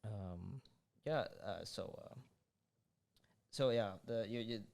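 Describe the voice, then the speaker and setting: A man's voice: lowish voice, slow pace, creaky, a little mellow. A young man hosting a podcast, upbeat but hesitant, with many restarts. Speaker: podcast host. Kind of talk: casual podcast interview.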